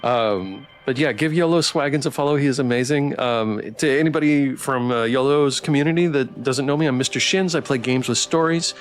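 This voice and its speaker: A man live-streaming a story game, switching between casual, playful, halting chat with viewers and reading narration aloud. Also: mid-range voice. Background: background music.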